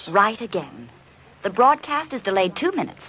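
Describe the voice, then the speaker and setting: An actress playing a cool, shrewd femme fatale racket boss in a vintage radio crime drama. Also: female voice, medium pitch, sing-song, normal speed, fairly bright tone. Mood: cool, mocking. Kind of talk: 1940s-50s radio crime drama acting. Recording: noisy vintage radio recording.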